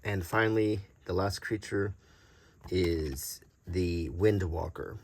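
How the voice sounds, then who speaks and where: Low-voiced middle-aged man, casual show-and-tell. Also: takes it slowly, darkish tone. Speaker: host presenting a vintage game card collection. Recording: noticeable background noise, small clicks.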